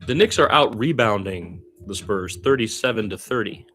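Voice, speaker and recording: Low-voiced man calling basketball, loose and informal. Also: animated, moderate pace, bright, forceful tone, faint music behind. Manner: informal, slangy, thinking aloud. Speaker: amateur radio-style play-by-play commentator. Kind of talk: loose, conversational basketball commentary.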